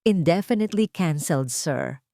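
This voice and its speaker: A woman's voice: low-pitched, animated, moderate pace, gravelly, mellow, no echo, clean studio sound. Woman as restrained, emotionless female secretary. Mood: flat, formal. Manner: formal, restrained.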